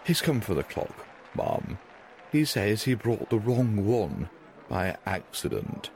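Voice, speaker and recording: A man's voice: lowish voice, sing-song, slow pace, vocal fry, darkish tone, exaggerated voice. A man playing a stiff, polite, deferential household butler in an old-time radio drama, with party chatter and faint noise behind. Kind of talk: 1940s-style radio drama acting.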